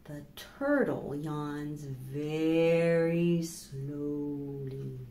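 A middle-aged woman hosting a children's storytime, speaking slowly and deliberately to young listeners as she reads and asks questions. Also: deep voice, warm tone. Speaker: library storytime host. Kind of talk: children's storytime, reading picture books aloud. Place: small plain indoor room. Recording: echoey room with faint background noise.

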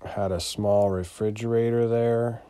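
A man giving a casual, unscripted property walkthrough as a landlord, in a matter-of-fact, halting way that trails off. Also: lowish voice, steady tone, dark tone, clean tone, dry recording. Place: inside an empty mobile home, starting on the porch.

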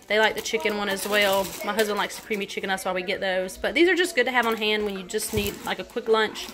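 A woman's voice: mid-range voice, pushed and bright. A woman in her thirties chatting casually at home about her groceries, full of ums and likes, filmed on a handheld phone. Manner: chatty, many ums and likes, listing items. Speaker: vlogger. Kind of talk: casual grocery haul narration. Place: home kitchen, indoors.

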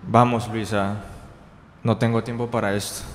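A man's voice: fairly low pitch, flat delivery, moderate pace, a little hiss or hum, lightly acted. A young man playing an impatient, dismissive, proud brother in an amateur radio drama, stiffly reading from a script. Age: young adult. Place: indoor green-screen studio. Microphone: handheld dynamic microphone held close. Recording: reverberant hall-like echo.

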